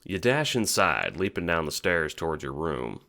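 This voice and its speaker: A man's voice: deep voice, very animated, normal speed, bright, forceful tone. A man narrating an audio drama in the second person, as if telling the listener their own story.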